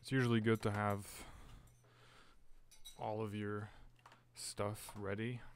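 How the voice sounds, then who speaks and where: A man's voice: lowish voice, little pitch movement, slow pace, slight background noise. A young man streaming a VR game, chatting casually into his headset mic, rambling with lots of "like" and "uh". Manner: rambling, fills talk with "like" and "uh". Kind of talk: casual live-stream chat.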